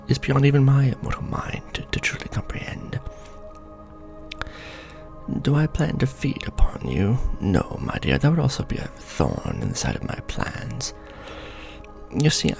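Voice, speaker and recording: Man acting out a gravelly, theatrical vampire lord. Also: medium pitch, exaggerated voice. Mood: disdainful, then commanding. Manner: pompous, theatrical, courtly. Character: ancient, pompous, scheming vampire lord, newly enthroned. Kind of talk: ASMR roleplay, dramatic monologue to the listener.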